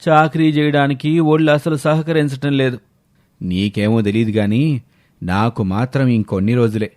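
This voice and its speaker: A man's voice: mid-range voice, fairly even intonation, moderate pace, darkish tone, natural voice. A man voicing a weary old village farmer in a moral story, sighing and folksy as he talks. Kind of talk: dramatised moral story acting.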